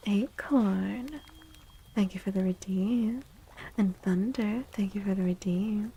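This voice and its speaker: A woman streaming soft-spoken ASMR tarot readings in a breathy half-whisper, gentle and encouraging. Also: medium pitch, slow pace.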